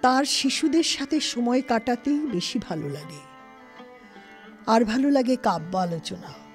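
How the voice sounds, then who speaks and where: A woman narrating a literary story in an expressive, scripted style. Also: on the high side, a little slow. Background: music.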